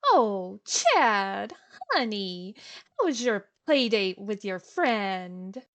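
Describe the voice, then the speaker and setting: A woman playing a gentle, peace-minded mother in an audio drama, soothing and affectionate. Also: mid-range voice, very animated, drawn-out words, exaggerated voice.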